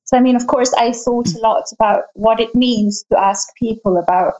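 A woman researcher answering a panel question, thoughtful and hesitant, with many pauses and fillers. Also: a little high, darkish tone, rough recording. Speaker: panel guest, field researcher.

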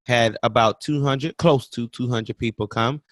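A man co-hosting a podcast in casual, playful and expressive conversation. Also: medium pitch, measured pace, no room sound, clean studio sound. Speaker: podcast co-host.

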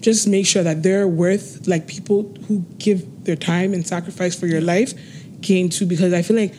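A woman in her twenties, a guest on an interview, laughing often and speaking slowly and earnestly. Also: on the low side, steady tone, dark tone, slight background noise. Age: 20s. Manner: laughs often, slow and earnest when talking goals. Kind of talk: casual interview chat.

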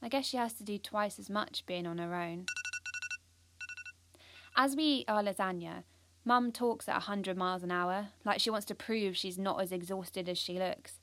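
A woman's voice: medium pitch, normal speed, a bit bright, a little hiss or hum, natural voice. A young British woman playing an earnest, ambitious, anxious schoolgirl who confides her story in an audio drama. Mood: reflective. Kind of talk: first-person audio drama narration.

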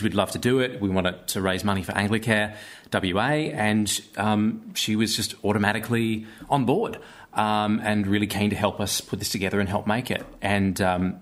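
Low-voiced Australian man, thoughtful and halting. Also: fairly bright tone. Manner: hesitant, searching for words, earnest. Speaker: guest, theatre maker and actor. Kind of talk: conversational interview.

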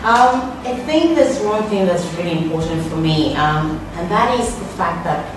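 A woman's voice: fairly low pitch, expressive, moderate pace. A woman from Nigeria, a church ministry team leader, talks earnestly and encouragingly. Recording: echoey room from a distance, noisy.

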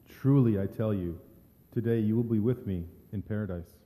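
A man's voice: lowish voice, normal speed, mellow, natural voice. A man playing a solemn, forgiving condemned Jesus in a dramatic scripture reading. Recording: long echo of a large hall.

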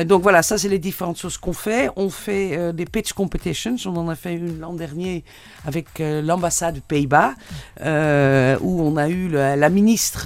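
A woman's voice: deep voice, darkish tone, no echo. A woman from the Netherlands with a Dutch accent, explaining steadily with frequent hesitations as a guest in a radio studio interview.

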